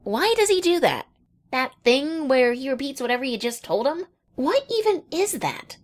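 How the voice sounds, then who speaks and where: A woman's voice: high-pitched, very animated, moderate pace. A woman voicing a brash, confident young female pegasus pony from a cartoon, casual and cocky. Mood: puzzled.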